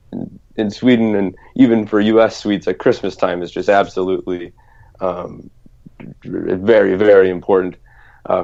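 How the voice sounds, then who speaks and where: A man speaking as a startup co-founder on an interview show, relaxed and rambling with many fillers. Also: lowish voice. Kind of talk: casual interview answers. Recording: dull lo-fi sound.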